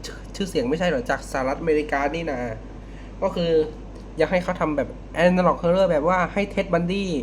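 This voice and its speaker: A man giving casual, unscripted reaction commentary as a video host, halting and repeating words as he thinks. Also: on the high side, a little hiss or hum.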